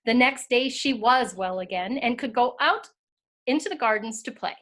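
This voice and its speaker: A woman's voice: on the high side, expressive, normal speed, fairly bright tone. A woman narrating a storybook tale as part of a scripted readers theater performance.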